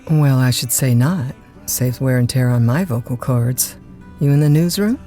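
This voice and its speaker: An actress playing a chatty, easygoing overnight radio disc jockey in an audio drama, with a folksy, informal manner. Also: female voice, rumbling low, moderate pace, dark tone, faint music behind. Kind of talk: naturalistic audio drama acting.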